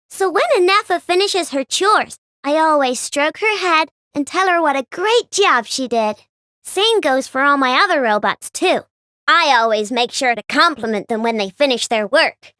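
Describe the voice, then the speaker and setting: A woman voicing an excitable, chatty young female inventor who builds robots. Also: sits high, no echo, studio quality. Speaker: game character voice. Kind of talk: recorded game voice lines.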